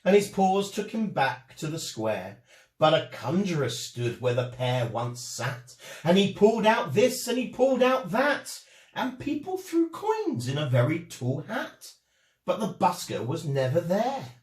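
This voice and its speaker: Animated male storyteller, slow and swooping. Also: fairly high pitch. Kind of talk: expressive rhyming children's story narration. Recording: echoey room.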